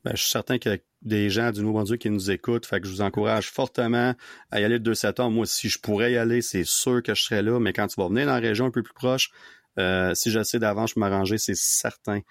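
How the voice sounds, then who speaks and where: A man with a Québécois French accent hosting a podcast, chatting in a relaxed, friendly way with his guest. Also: lowish voice, fast talker, no room sound, clean studio sound. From Quebec. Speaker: podcast host. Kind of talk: casual interview chat.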